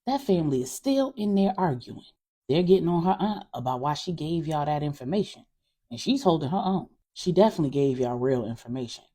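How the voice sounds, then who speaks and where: A woman voicing a male private investigator in an audio story, reporting in a brisk, informal way. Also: low-pitched, very animated, dark tone, breathy edge, exaggerated voice. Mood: matter-of-fact.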